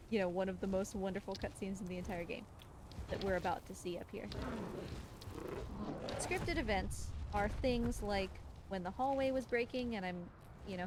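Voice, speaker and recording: A woman giving casual, chatty and explanatory live commentary as she plays a video game on a stream. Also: medium pitch. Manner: chatty, explanatory, talks to the game creature and viewers. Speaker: speedrunner and livestream host. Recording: clearly noisy, game sound behind.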